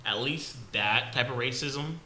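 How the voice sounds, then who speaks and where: A man's voice: medium pitch, unhurried, sharp tone. A man born in Haiti hosting a casual podcast, telling stories in a rambling way with lots of fillers and restarts. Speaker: podcast host.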